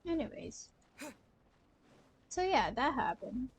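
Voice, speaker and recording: A young woman from Malaysia giving casual live-stream commentary on a game, with a husky voice. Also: high voice, big pitch swings, takes it slowly, a little hiss or hum. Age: young adult.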